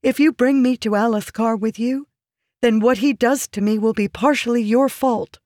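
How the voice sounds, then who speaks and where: A woman's voice: fairly high pitch, steady tone, moderate pace, a little mellow, dry recording, clean studio sound, pitched above the performer's own voice, close to an everyday voice. A woman voicing a pleading, calculating noblewoman in an audiobook, intense and feminine. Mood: frightened pleading. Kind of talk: audiobook character dialogue.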